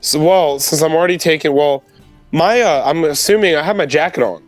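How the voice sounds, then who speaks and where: A man's voice: a little high, fairly quick, no room sound, faint music behind. A man chatting casually as a player in a tabletop role-playing game.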